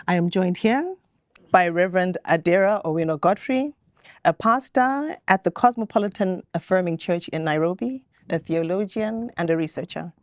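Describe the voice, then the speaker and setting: Measured woman moderating a panel. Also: fairly low pitch, lively intonation, no echo, clean studio sound. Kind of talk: panel introductions. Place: conference venue.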